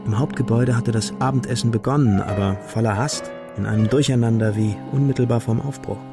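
Male actor as wistful young storyteller, measured, gravelly edge, radio drama, music behind. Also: medium pitch, fairly even intonation, lightly acted. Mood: measured, tense. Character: young man narrating a wistful schoolboy adventure. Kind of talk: literary radio drama narration and acting.

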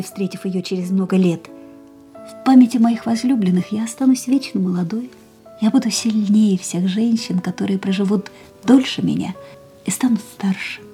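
Actress as mellow, wistful doomed young woman. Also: medium pitch, close to an everyday voice. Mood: defiant, wistful. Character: doomed ailing young woman, wistful, proud, philosophical lover. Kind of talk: radio play dialogue and inner monologue.

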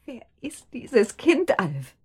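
An actress playing an anxious, shaken wife and mother in a radio drama, breathy and husky, halting and repeating her words with intensity. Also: on the high side, huge pitch swings, exaggerated voice. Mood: horrified, agitated.

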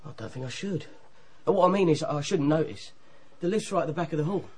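A man's voice: on the high side, quick pace, close to an everyday voice. An actor playing a naive young page boy, eager and colloquial, in a radio drama. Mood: eager, deferential.

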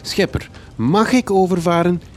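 An actor with a Flemish accent playing an eager, theatrical big-city reporter in a radio drama. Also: male voice, high voice, unhurried, a little mellow, a little hiss or hum. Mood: adventurous.

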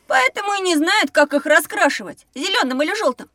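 Young schoolboy, piercingly high pitch, bright childlike tone, cheeky, grumbling, scripted educational audio play. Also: female voice, expressive, fairly quick, no room sound, studio quality, heavily acted. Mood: curious, boastful. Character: cheeky young schoolboy who dislikes studying.

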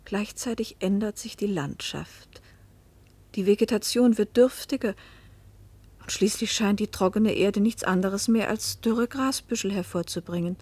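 An actress playing a pensive middle-aged wife and mother, recounting a fateful journey in measured literary narration that sometimes sinks toward a whisper. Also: medium pitch, darkish tone, husky. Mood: somber, descriptive. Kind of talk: literary first-person narration in a German radio play.

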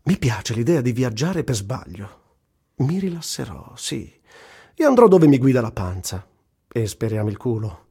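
A man's voice: mid-range voice, big pitch swings, moderate pace, creaky, warm tone, husky, full character voice. An actor reciting as a wry, earthy, self-mocking male war journalist, in a dramatic literary radio-theatre reading. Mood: amused, self-mocking. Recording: voice over prominent music. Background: music.

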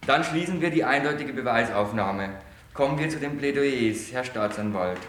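A man's voice: medium pitch, animated, normal speed, a bit bright, natural voice. A man playing a pompous, stern judge in a satirical radio play, commanding and mocking. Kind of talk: 1980s amateur radio play. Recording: roomy echo, faint hiss.

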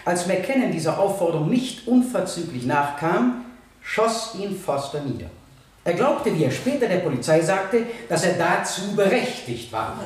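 An actor in a radio play voicing a stern, impassioned male prosecutor who addresses a jury in formal, emphatic oratory with deliberate pauses. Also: sits high, sing-song. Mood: grave, narrating facts. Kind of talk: 1970 German radio play, courtroom oratory. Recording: echoey courtroom sound, distant from mic.

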